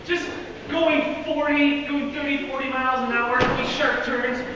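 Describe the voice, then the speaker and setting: Teen boy youth speaker, very high, loud and energetic, far from the mic in a reverberant hall. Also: unhurried. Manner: loud, energetic, laughs often. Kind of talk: lively devotional talk to a group. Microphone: no microphone. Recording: echoey hall, far from mic, faint noise.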